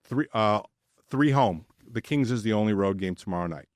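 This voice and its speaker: A man's voice: fairly low pitch, expressive, moderate pace, no room sound, studio quality. A man co-hosting a casual sports podcast, chatting informally and jokingly, often stammering and repeating his words. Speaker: podcast co-host.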